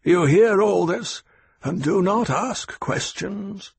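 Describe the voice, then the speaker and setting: A man's voice: high voice, animated, slow pace, creaky, breathy edge, no room sound, pitched above the performer's own voice, exaggerated voice. A man voicing an old, shrewd male Mentat advisor who speaks sharply and scornfully. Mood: cold, cutting. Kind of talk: audiobook character voice.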